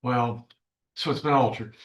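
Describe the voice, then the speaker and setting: A man's voice: mid-range voice, unhurried. A man speaking as a defense lawyer in a courtroom, in hesitant, fragmented phrases. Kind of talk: courtroom objections.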